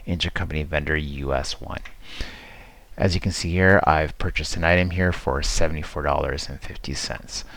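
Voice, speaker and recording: A man giving an informal, explanatory screen-share tutorial as a software demo presenter, talking conversationally into a close mic. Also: rumbling low, no room sound. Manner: explanatory, conversational, checks in with "right?".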